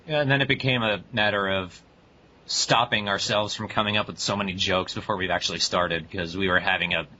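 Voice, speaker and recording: A man's voice: sits low, fairly even intonation, fairly quick, bright, forceful tone. A young man co-hosting a casual podcast, laid-back and dry, often laughing along. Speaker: podcast co-host. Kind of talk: casual chat. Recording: dull lo-fi recording, faint noise.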